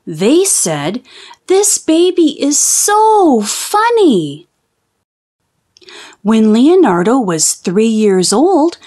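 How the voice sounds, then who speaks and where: A woman narrates a children's storybook aloud. She takes it very slowly and deliberately, with a lively, widely varied melody. Her reading is expressive throughout. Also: medium pitch. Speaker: children's book reader.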